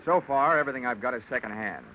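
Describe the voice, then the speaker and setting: An actor playing a wry, hard-boiled insurance investigator, wisecracking and dry, in old-time radio detective style. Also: male voice, medium pitch, animated, fast talker, a little hiss or hum, close to an everyday voice. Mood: dry, guarded. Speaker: lead actor and narrator. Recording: dull vintage radio recording with music.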